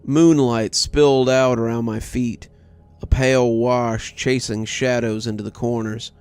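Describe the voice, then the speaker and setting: A man's voice: mid-range voice, flat delivery, a little slow, a little mellow, clean tone. A man reading a scripted first-person horror story as its narrator. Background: music.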